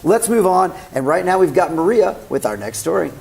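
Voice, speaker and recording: A man co-hosting a news show in a casual, chatty, rambling way, with a raspy, hoarse voice. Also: sits high, sing-song, a little fast. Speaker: news co-host.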